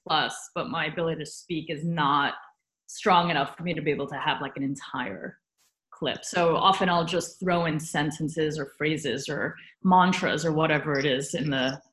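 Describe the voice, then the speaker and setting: Relaxed woman guest, lower voice. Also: normal speed, reverberant. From USA. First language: Romanian. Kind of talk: casual interview chat.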